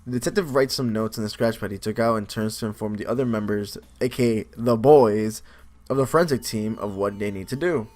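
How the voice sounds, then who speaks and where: A man's voice: on the low side, brisk, a little mellow. A man doing casual let's play commentary, reading game narration aloud and adding informal, joking asides. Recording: faint game music behind.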